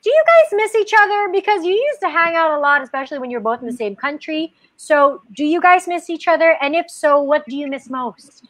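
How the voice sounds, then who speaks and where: A woman's voice: high-pitched, very animated, brisk, hardly any pauses, a bit bright, no room sound. A woman hosting a radio show, upbeat and teasing as she reads out fan questions. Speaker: radio host.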